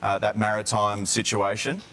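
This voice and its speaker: A man's voice: fairly low pitch, flat delivery, normal speed, fairly bright tone. A man with an Australian accent presenting broadcast news and interviewing live as a TV anchor.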